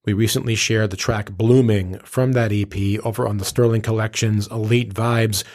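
Man, low voice, radio interview host, polished formal phrasing, bits of slang, close dry sound. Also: steady tone, normal speed, darkish tone, dry recording. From United States, New England.

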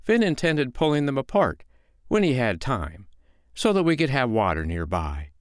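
A man voicing a calm, sly foster mother in a storybook, with a lilting, mock-innocent, needling way of talking. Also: mid-range voice, normal speed, smooth, no room sound, clean studio sound, voice pushed up, natural voice. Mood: coolly belittling.

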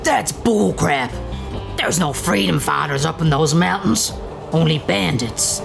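An actress playing an indignant, folksy train driver in a sci-fi audio drama, scolding, with a gravelly, creaky voice. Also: female voice, low-pitched, expressive, a little slow, over music, exaggerated voice.

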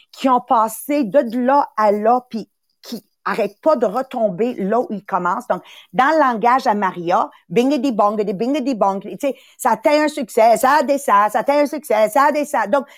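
A Quebec-accented woman in her sixties gives a motivational talk, animated and emphatic. She circles back to the same phrases and slips into quick little acted voices. Her pitch sits fairly high and leaps around a great deal, and the recording is dry with no room sound. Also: dry recording. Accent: Quebec French. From Italy. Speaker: podcast host and sales coach.

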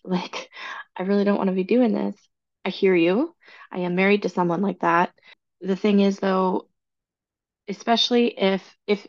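A chatty woman in her thirties hosts a casual podcast, talking with easy enthusiasm. Her voice has a gravelly fry as it recovers from laryngitis, and the recording sounds a bit dull and lo-fi. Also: mid-range voice, darkish tone. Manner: chatty, enthusiastic about organizing. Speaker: podcast host. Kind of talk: casual solo podcast talk.

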